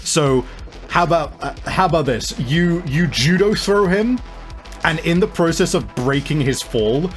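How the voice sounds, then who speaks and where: A man's voice: fairly high pitch, animated. Man narrating a tabletop game over music. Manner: halting, pieces scenes together as they go. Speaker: game master. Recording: music playing behind the voice.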